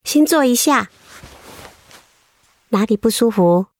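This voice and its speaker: An actress playing a gentle, comforting school nurse in a radio drama. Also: female voice, a little high, expressive, slow, full character voice. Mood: soothing.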